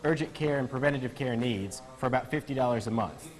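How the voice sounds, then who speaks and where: A man's voice: mid-range voice, quick pace, rough recording. Man explaining things as a doctor guest. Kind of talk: conversational explaining in an interview.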